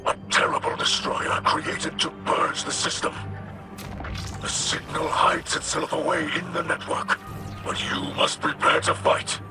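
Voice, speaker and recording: A man voicing a solemn alien mystic in a video game, with a breathy, whispery voice and formal, deliberate, grave delivery. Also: on the high side, level tone, music in the background. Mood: urgent warning.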